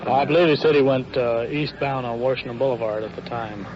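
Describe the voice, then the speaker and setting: A man's voice: mid-range voice, a little fast. Matter-of-fact policeman, muffled old recording. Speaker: police officer. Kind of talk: unscripted field briefing. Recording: muffled 1950s field radio recording, faint noise.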